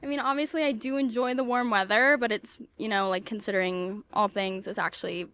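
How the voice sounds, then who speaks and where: A young woman from Colorado answering street questions in a relaxed, conversational way. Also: mid-range voice, a little fast, clear, resonant tone. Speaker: interviewee.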